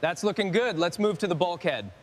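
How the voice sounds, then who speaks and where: A man's voice: high voice, expressive, a little fast, background noise. A man giving short, scripted instructions and replies as a member of a workshop crew.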